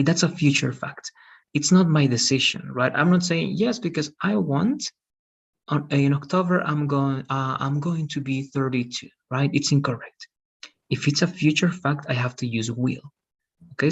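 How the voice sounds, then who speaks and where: Man teaching English over a call, husky. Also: medium pitch, fairly bright tone, muffled recording. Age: 30s. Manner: patient, checks understanding with frequent "okay?" and "right?". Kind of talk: online English class.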